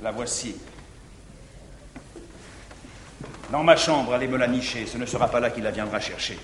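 A man playing a jealous middle-aged guardian in declaimed stage verse, swinging from tender pleading to shouting, in a reverberant 1959 theatre recording. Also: mid-range voice, fast, a little hiss or hum, lightly acted. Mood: angry. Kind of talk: 1950s French stage verse acting.

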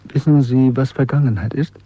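Man acting disillusioned rebel clerk near forty, husky voice, earnest intensity, radio play, dry muffled recording. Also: mid-range voice, steady tone, moderate pace, dark tone, no echo, close to an everyday voice. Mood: bitter explaining. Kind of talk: German radio drama acting.

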